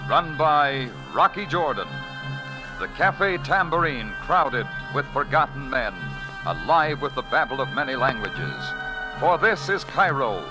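A man's voice: medium pitch, low-quality recording. A man announcing in dramatic, scripted 1940s radio style on a vintage broadcast. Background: music.

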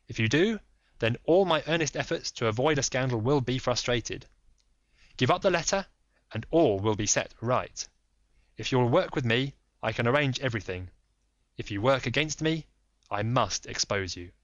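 A man performing a composed detective who presses his point with quiet authority. The voice sits a bit above the narrator's. The recording is dry and muffled. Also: mid-range voice, animated, dry recording, voice pushed up, natural voice. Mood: firm. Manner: measured, insistent, authoritative. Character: calm, firm, commanding male detective. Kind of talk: audiobook reading.

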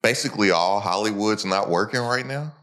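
A man with a low-pitched voice hosts an entrepreneurship-style podcast. He talks informally and with slang, in a motivational manner, addressing listeners directly. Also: a little fast, a bit bright.

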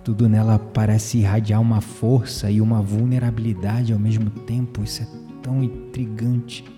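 An actor playing an amiable, self-deprecating, introspective man in an audio drama, speaking Brazilian Portuguese in a measured, reflective way. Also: fairly low pitch, level tone, dark tone, faint music behind, lightly acted. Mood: intrigued, longing. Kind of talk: audio drama dialogue and inner monologue.

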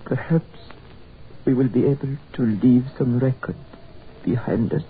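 An actor playing a solemn, resigned man with halting, grave delivery in a 1940s radio drama. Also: mid-range voice, lightly acted. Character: solemn, resigned man stranded in prehistoric past. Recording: tinny, hissy old radio recording.

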